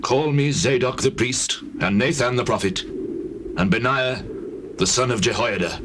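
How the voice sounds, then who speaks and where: Guy playing tired old bible king, solemn, middle pitched voice, noisy old tape audio. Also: moderate pace, close to an everyday voice. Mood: solemn, weary. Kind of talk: dramatized scripture reading in biblical English.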